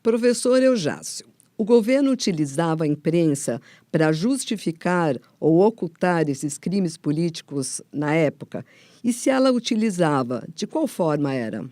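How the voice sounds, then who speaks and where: A Brazilian woman presents in a soft-toned, mellow voice with very little room sound. She reads scripted documentary narration and puts questions as an interviewer. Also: on the low side, animated, normal speed, no echo, clean studio sound.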